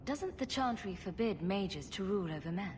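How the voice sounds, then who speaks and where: A woman's voice: mid-range voice, lively intonation, moderate pace, slight background noise, lightly acted. A woman voicing a wry, sarcastic, inquisitive female rogue adventurer in a video game. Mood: curious, wry.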